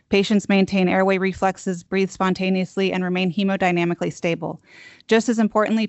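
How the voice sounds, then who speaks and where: Soft-spoken nurse lady reading her statement fast, flat tone, dry room. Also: medium pitch, no room sound. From Texas. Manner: soft-voiced, firm and steady. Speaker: registered nurse giving public comment. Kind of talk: prepared testimony read aloud.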